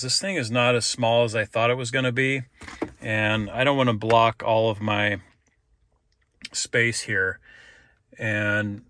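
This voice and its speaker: A man's voice: mid-range voice, bright, forceful tone, a little hiss or hum. A man giving a casual, hands-on install walkthrough, explaining it informally step by step. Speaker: DIY video presenter.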